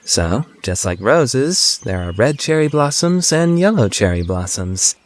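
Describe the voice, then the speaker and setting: A man's voice: medium pitch, big pitch swings, measured pace. A man voicing an awkward, earnest teenage schoolboy with a crush in an anime fandub, hesitant and easily flustered. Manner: flustered, hesitant, overthinking monologue. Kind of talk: anime fandub radio play.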